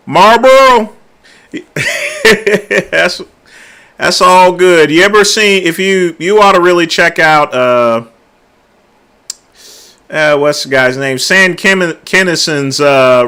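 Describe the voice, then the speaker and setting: A middle-aged man hosting a casual late-night livestream, talking loudly and easygoing, chatting with his viewers. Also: on the high side, clean tone. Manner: loud, laid-back, drawls filler words like "there". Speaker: livestream host.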